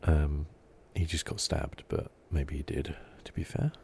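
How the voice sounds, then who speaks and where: Very deep, creaky soft-spoken man. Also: steady tone, a little slow, slight background noise. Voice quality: soft-spoken, low-key. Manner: rambling, thinking aloud. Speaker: video game streamer. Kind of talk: relaxed ASMR gameplay commentary.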